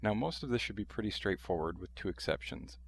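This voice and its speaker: A man's voice: fairly low pitch, expressive, fairly quick, gravelly. A man narrating a how-to demonstration in a dry, matter-of-fact, wry way. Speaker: video host showing a restored vintage radio.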